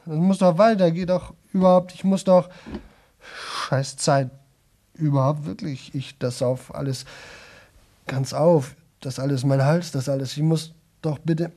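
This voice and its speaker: An actor playing a young man in a radio play, exhausted and desperate, with a sore, rough throat, talking on in halting, repeated fragments. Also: a little high, fairly even intonation, warm tone. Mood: desperate, exasperated. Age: young adult. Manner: halting, repetitive, broken fragments. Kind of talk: 1970s German radio play monologue.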